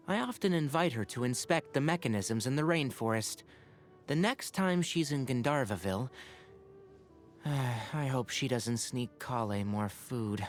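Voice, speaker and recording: An earnest young forest ranger from a video game, played by a man, with a mid-pitched voice that rises and dips expressively. He sounds scholarly and caring, with a dry, wry streak and articulate phrasing. Music plays behind him. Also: lightly acted. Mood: thoughtful. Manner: articulate, thoughtful, dryly opinionated. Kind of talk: scripted game character monologue.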